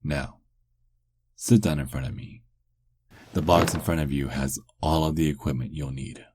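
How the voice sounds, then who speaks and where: A man playing a sarcastic, flirtatious captive werewolf in an ASMR roleplay, teasing with lingering pauses. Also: rumbling low, fairly even intonation, background noise. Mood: cocky, amused.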